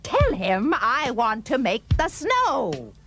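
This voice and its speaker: A woman's voice: fairly high pitch, huge pitch swings, takes it slowly, fairly bright tone, full character voice. A woman playing a demanding, imperious wife and emperor in a dramatised folk tale, bossy and insistent.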